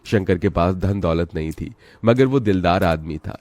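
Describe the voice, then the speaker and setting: A man narrating a cartoon drama story in a steady, dramatic storytelling manner. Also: low voice, normal speed, dry recording.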